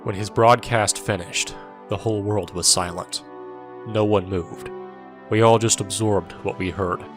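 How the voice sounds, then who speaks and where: A world-weary soldier played by a man narrating an audio drama in the first person. His voice is low, with a dry, irreverent, sardonic delivery. Music sits underneath. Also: steady tone, a little slow, natural voice. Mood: grim. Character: wry, world-weary male soldier recounting his war.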